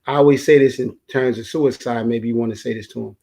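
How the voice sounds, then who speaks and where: A man's voice: medium pitch, fairly even intonation, quick pace, creaky, mellow. A man speaking as a guest on a video call, giving earnest advice to the group. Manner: earnest, advising.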